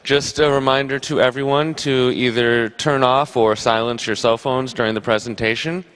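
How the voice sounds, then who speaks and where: A man's voice: medium pitch, fairly even intonation, moderate pace, a bit bright, clear, resonant tone. A man announcing a conference session and giving housekeeping reminders in a plain, orderly way. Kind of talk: event announcement. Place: large lecture hall. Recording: reverberant lecture hall.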